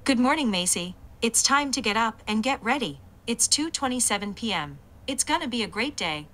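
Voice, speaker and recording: A woman's voice: on the high side, expressive, normal speed, sharp tone, a little hiss or hum. Synthetic female voice assistant reading announcements. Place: played from a smart speaker in the room.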